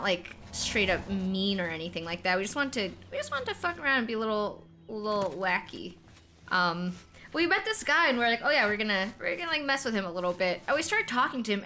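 A young woman streaming a game, with a very high-pitched, childlike voice, telling a rambling, self-deprecating story with laughs and swearing. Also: pushed and bright, slight background noise. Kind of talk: casual gaming stream chat.

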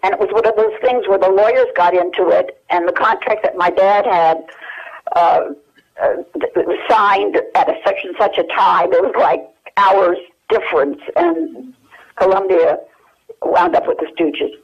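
A woman's voice: mid-range voice, expressive, moderate pace, creaky. A woman in her eighties, speaking as an interview guest by telephone, hesitant and searching her memory with many fillers. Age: 80s. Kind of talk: phone interview. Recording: muffled telephone line.

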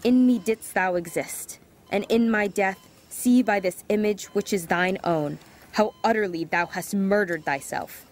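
A woman's voice: medium pitch, unhurried, slight background noise, close to an everyday voice. A woman voicing a wayward teenage girl who narrates and talks to an old man, hesitant and apologetic in scenes, in an audio drama.

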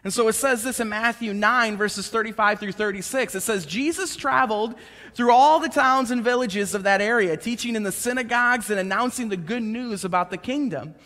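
Bright-voiced preacher in his thirties, higher-pitched man, lively storytelling sermon, long reverb of a church hall. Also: a little fast. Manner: conversational and animated, gesturing while pacing the stage. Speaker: pastor. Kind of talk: sermon with personal stories. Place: church stage, large indoor room.

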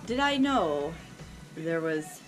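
A young woman vlog host telling a personal story casually and unscripted, with theatrical emphasis and dramatic pauses. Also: deep voice, very animated, faint music behind. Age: young adult. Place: small indoor room lined with shelves.

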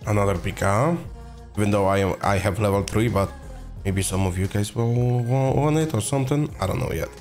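A young man with a voice hoarse from being sick, chatting casually through a game video and getting excited over rare drops. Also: on the low side, a little fast, faint music behind. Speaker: gaming video host. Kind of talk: casual gameplay commentary.

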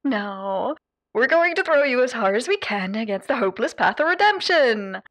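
Theatrical, high-pitched sadistic female demon. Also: very animated, fairly bright tone, no room sound. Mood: gleefully mocking. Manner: mocking, showy, drawn-out delivery with whispered asides and pet names. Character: sadistic, theatrical female radio demon playing hotel therapist. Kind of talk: ASMR character roleplay addressed to the listener.

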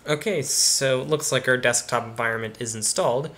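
A man's voice: medium pitch, fairly quick, fairly bright tone. A young man hosting a tech channel, casually talking through each step of a computer install as he does it. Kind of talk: screen-recorded tutorial narration. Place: bedroom studio with computer screens behind.

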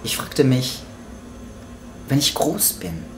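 Slow, echoey man reciting. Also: medium pitch, a little hiss or hum. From France. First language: French. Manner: deliberate, theatrical pauses. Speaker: author and spoken word artist presenting own book. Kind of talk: poetic spoken word book trailer monologue. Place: small home kitchen, indoors. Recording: roomy echo, music behind. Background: music.

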